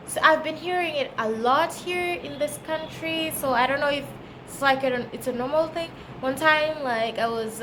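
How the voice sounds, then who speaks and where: A woman from Kenya with a Kenyan accent, chatting casually to camera as a travel vlogger and explaining things in a conversational way. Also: sits high. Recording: clearly noisy background ambience.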